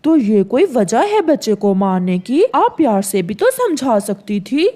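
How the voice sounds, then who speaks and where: A woman's voice: fairly high pitch, big pitch swings, measured pace, dark tone. A woman voicing a protective, aggrieved father bird in a cartoon, mild but firm and earnest. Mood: indignant. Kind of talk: Hindi cartoon dialogue.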